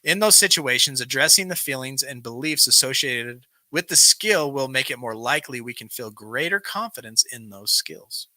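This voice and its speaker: A man's voice: medium pitch, lively intonation, normal speed, hardly any pauses, sharp tone, no room sound. A man hosting a live recovery show, reading self-help material aloud and breaking off into informal, bantering asides.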